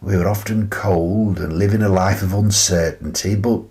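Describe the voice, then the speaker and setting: An actor playing a gentle, wistful, eccentric old British grandfather in a radio play monologue, rambling reflectively with hesitant pauses. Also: low-pitched, fairly even intonation, lightly acted. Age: older.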